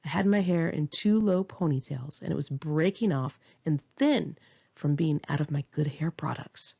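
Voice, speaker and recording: A woman's voice: deep voice, expressive, moderate pace, vocal fry, dark tone, breathy edge, dull audio. A woman reading her own memoir aloud in a candid, informal, wry conversational style. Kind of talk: conversational memoir narration, read in one take.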